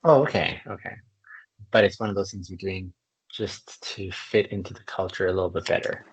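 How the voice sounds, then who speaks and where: A man's voice: deep voice, steady tone, a little fast, husky, dull audio. A man from Canada hosting a conversational podcast interview, speaking in an articulate, reflective way and laughing easily. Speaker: podcast host.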